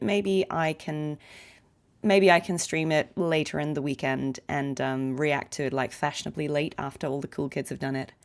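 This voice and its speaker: Low-voiced woman chatting on a game stream. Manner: chatty, informal, chuckles easily. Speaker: voice actress livestreaming gameplay. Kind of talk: casual livestream chat while playing a game. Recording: faint game music behind voice. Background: faint game music.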